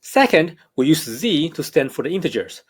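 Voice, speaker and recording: A math professor with a slight Hong Kong accent talks through a recorded lecture. His voice sits a bit high and rises and falls a lot. He explains in a measured, careful way. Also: sing-song, slightly rough. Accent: Hong Kong English.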